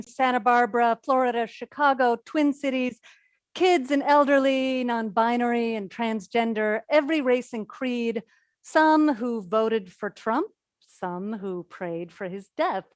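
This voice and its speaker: An actress playing an obsessive, self-important scientist in a staged reading, delivering a theatrical, boastful, deliberate monologue. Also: female voice, on the high side, dry recording, close to an everyday voice. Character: obsessive, self-important woman stress scientist addressing the audience.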